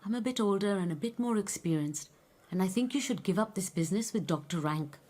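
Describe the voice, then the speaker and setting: A woman's voice: mid-range voice, animated, fairly quick, mellow, close to an everyday voice. A woman playing a sensible, more worldly friend in a radio drama, speaking in a frank, questioning, admonishing way. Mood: probing, advising.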